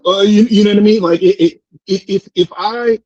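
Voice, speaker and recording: An American man in a casual debate, explaining in an animated way, repeating words and often checking with "right?". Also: sits high, moderate pace, dry recording, muffled recording. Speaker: discussion participant answering questions.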